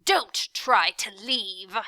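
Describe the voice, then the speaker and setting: A villainous male demon of pointless chores, played by a woman reading a storybook. The voice is sly and menacing, dragging out its words and sliding between snarls and deceitful whispers. It swoops widely in pitch and is recorded dry and close. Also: expressive, dry recording, full character voice. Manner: drawn-out, snarling, slipping into soft deceitful whispering.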